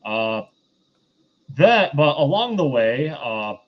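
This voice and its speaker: A man with an average-pitched voice hosts a casual review chat. He recaps in a halting way, backtracking as he goes. The sound is slightly dull and lo-fi. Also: very animated. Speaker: host of a film review show.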